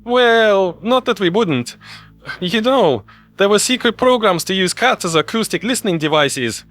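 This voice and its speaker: A man's voice: sits high, expressive, measured pace, a bit bright, faint music behind, pitched above the performer's own voice. A man voicing a chipper, joking human male officer in an audio story, casual and chatty. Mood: cheerful, chatty. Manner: casual, cheerful, idiomatic.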